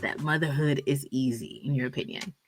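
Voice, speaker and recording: A woman hosting a podcast, chatty and animated, with many fillers and playful asides. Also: rumbling low, brisk, music in the background, low-quality recording. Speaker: podcast host. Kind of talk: casual podcast chat.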